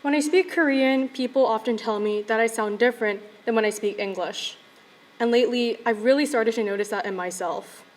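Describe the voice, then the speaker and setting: A young woman in her late teens, a graduating student, giving a measured, reserved speech at a podium microphone. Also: a little high. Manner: soft-spoken, reserved, measured. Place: school event hall.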